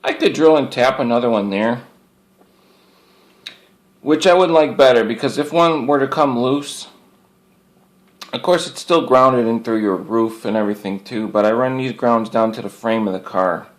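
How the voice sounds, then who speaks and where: Guy doing a laid out casual gear walkthrough, folksy and plainspoken, medium pitch, kinda rambles and repeats himself. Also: normal speed, pauses often. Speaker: hobbyist presenter showing radio antenna gear. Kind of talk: casual product show-and-tell.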